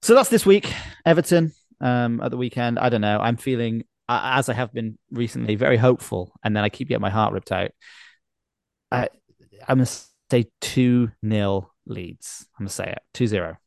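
A man's voice: medium pitch, moderate pace, gravelly, no echo. A man hosting a football podcast in casual, wry, self-deprecating banter. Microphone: close podcast mic on a video call.

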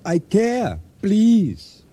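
A man's voice: high-pitched, wildly swooping pitch, extremely slow, mellow, husky, heavily acted. A man playing a guarded, earnest island trader in a radio drama, telling his tale and talking in grave, formal phrases. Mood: pleading. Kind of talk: radio drama narration and dialogue.

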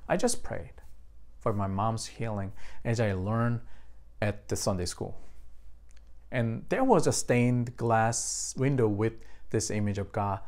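Korean guy pastor giving a sermon, korean accent, talks right to the congregation. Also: on the low side.

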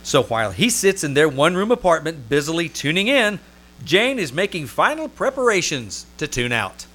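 A man's voice: on the high side, very animated, moderate pace, pushed and bright, slight background noise. A man playing a showy, playful announcer and storyteller in a 1940s radio show. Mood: wry storytelling. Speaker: announcer and narrator. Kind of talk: old-time radio announcing.

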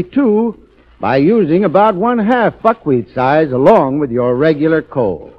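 Animated American radio ad spokesman, muffled. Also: a little high. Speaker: commercial spokesman, heating expert. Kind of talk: scripted 1940s radio advertising talk. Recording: muffled vintage 1940s radio recording.

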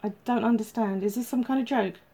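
A woman voicing a troubled, guarded male police detective in an audiobook, terse and halting. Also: on the high side, creaky, mellow, breathy edge. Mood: stunned, disbelieving.

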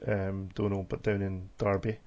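A Scottish man hosting a casual podcast chat over an online call, chatty and quick to laugh, often restarting his sentences. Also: low-pitched, flat delivery, mellow, highs cut off. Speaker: podcast host. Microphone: remote call microphone.

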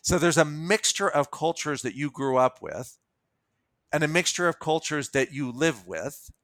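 An American man co-hosting a podcast, introducing and chatting casually in a halting, stop-start way. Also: fairly high pitch, lively intonation, fairly bright tone, no echo, clean studio sound. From United States. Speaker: podcast co-host.

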